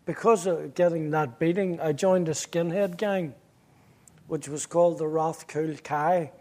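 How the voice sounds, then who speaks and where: Belfast pastor, middle-aged, wry and joking, fairly high voice for a man. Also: fairly even intonation, a little mellow. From Northern Ireland. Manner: wry, joking, halting restarts. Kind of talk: interview storytelling.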